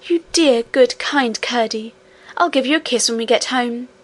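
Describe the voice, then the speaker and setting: A woman reader acts out a little storybook princess with a high, childlike voice. She is innocent and affectionate, speaks with precise politeness and can be earnestly stubborn. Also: normal speed, close to an everyday voice. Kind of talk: storybook character reading.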